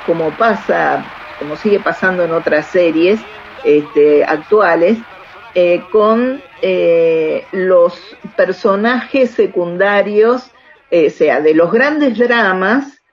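A woman with an Argentine accent in a conversational radio interview, speaking reflectively and haltingly, often checking with a tag question. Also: lowish voice, dull audio. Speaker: author interviewed about radio drama.

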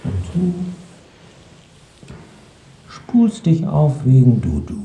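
A bossy, exasperated woman in a radio play, with a very dark tone and big swings of pitch. She scolds impatiently in a roomy space. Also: huge pitch swings, extremely slow, a little hiss or hum, full character voice. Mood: exasperated, scolding. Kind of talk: German radio drama acting.

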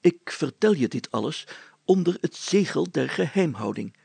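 Storybook village mayor, voiced by a male reader: jovial and emphatic, raised husky voice, confiding manner. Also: fairly high pitch, lively intonation, moderate pace, darkish tone, no room sound, voice pushed up, full character voice. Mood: solemn, urgent.

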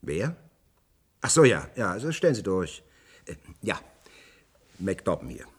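Nervous pushy bureaucrat, radio drama, swooping intonation, husky. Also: male voice, deep voice, big pitch swings, measured pace, heavily acted. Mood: flustered, servile. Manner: hesitant, stammering, impatient. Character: flustered male official, servile to superiors, pushy with subordinates. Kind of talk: German radio play acting.

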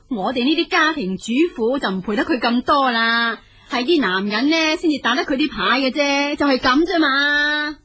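A woman's voice: sits high, sharp tone, slightly rough, exaggerated voice. A woman acting a self-justifying, defensive housewife in a Hong Kong Cantonese radio drama.

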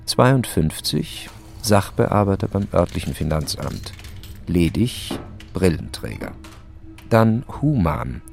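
A man's voice: sits low, vocal fry, a little mellow. A man narrating a radio play in terse, matter-of-fact fragments.

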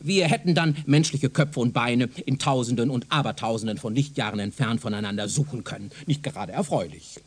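An actor playing a self-assured, pedantic professor from the future in a German radio drama, lecturing in a condescending way. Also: male voice, medium pitch, brisk, few pauses, breathy edge, close to an everyday voice. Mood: animated, dismissive. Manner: pedantic, didactic, rhetorical.

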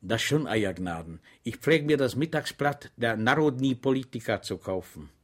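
A man's voice: mid-range voice, animated, normal speed, natural voice. A man voicing a simple-minded, cheerfully earnest soldier who answers in innocent, meek tones.

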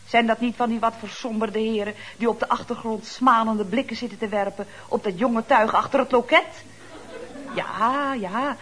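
Arch, high-voiced secretary, noisy recording. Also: female voice. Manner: mock-polite, arch, expressive phone chatter. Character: chatty, ironic woman secretary at a building firm. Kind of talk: Dutch radio comedy serial acting. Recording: noisy old radio recording.

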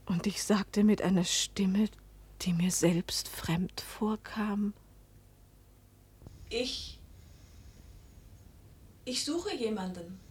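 An actress playing a bewildered, introspective woman of forty-one, narrating and speaking in a German radio play with a hushed, breathy, searching delivery. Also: medium pitch, drawn-out words, pauses often, exaggerated voice. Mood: hushed, pensive.

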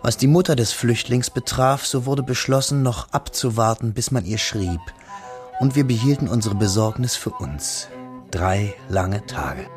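An actor playing a reflective man who narrates his schoolboy memories in a radio drama, in a measured, intimate, literary manner. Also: mid-range voice, music in the background, close to an everyday voice. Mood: pensive. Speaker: first-person narrator.

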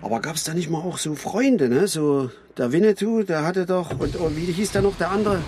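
An actor playing a restless, rough but tender working-class father in a radio drama, talking in a halting, colloquial way and repeating himself. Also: fairly high pitch, background noise, lightly acted. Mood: gruffly coaxing. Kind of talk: naturalistic radio drama dialogue and narration.

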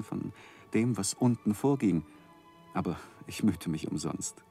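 An actor playing an earnest man looking back on his youth in a measured, reflective 1960s radio drama manner. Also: sits low, a little mellow. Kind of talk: 1960s German radio drama narration and acting.

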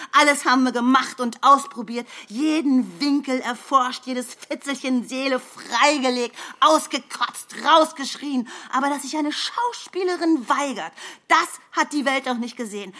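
An actress playing a sarcastic stage performer in a radio play, needling a colleague with biting, theatrical irony. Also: female voice, high-pitched, pushed and bright.

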